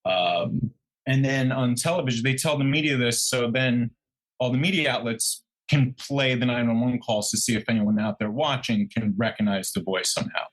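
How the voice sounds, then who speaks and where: A man with a medium-pitched voice comments on a true crime case as a podcast co-host. He talks conversationally and haltingly, laying out details piece by piece. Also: poor audio.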